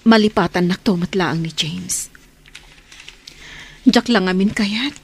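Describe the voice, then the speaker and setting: An actress with an Ilocano accent playing a gentle, hesitant, self-sacrificing woman torn by guilt in a radio drama. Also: on the low side, takes it slowly, gravelly, slightly rough, full character voice. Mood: sorrowful, conflicted.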